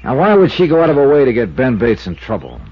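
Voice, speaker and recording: An actor playing a shrewd, skeptical insurance investigator with an American accent, pensive and probing, in a 1950s radio detective drama. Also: male voice, mid-range voice, big pitch swings, fast, slightly rough. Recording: dull lo-fi 1950s radio recording.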